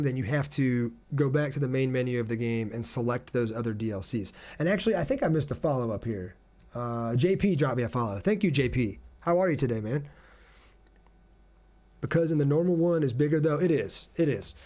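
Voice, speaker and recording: Casting: American male game streamer, mid-pitched voice, informal and conversational, talking straight to his live audience during play. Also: a little mellow. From Ohio, United States. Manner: conversational, addresses viewers directly. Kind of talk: casual gameplay livestream chat.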